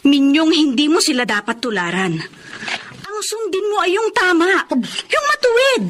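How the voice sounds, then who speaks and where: A woman's voice: very high-pitched, lively intonation, normal speed, fairly bright tone, a little hiss or hum. A Filipino woman playing a pious, upright middle-aged wife and mother in a radio drama, earnest and admonishing. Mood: reproachful.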